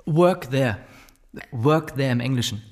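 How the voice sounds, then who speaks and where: An actor playing a reserved, courteous English writer and tutor in a radio drama, understated and a little hesitant. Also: male voice, medium pitch, unhurried, darkish tone, lightly acted. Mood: polite, correcting. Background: music.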